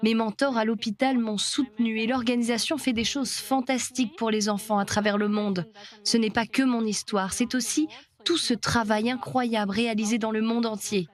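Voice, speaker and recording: A woman doing the voice-over translation of an interview, reading in a calm, earnest way. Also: a little high. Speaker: dubbing voice for an interviewee.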